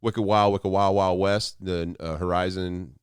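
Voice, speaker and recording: Deep, smooth-voiced man chatting. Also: fairly even intonation, unhurried, dry recording, studio quality. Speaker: podcast guest. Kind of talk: casual chat.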